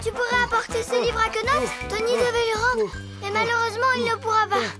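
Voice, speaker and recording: A very high, childlike voice for a pushy little cartoon animal girl. She sounds confident and expressive, with music playing behind her. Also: brisk. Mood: bossy, persuasive.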